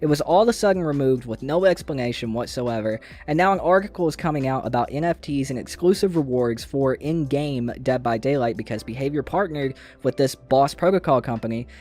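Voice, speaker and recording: A man's voice: medium pitch, animated, fairly quick, hardly any pauses, darkish tone. A man hosting a casual gaming news video, speaking in an informal, slangy way with some mild swearing. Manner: informal, slangy, mildly profane. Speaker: gaming YouTube host.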